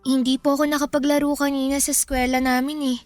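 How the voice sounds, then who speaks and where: High, childlike schoolgirl, played by a woman. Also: level tone, fast talker, dry recording, soft background music, lightly acted. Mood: sulky, downcast. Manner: childlike, polite, questioning. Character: sulky, curious young schoolgirl, granddaughter. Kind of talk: Filipino radio drama acting.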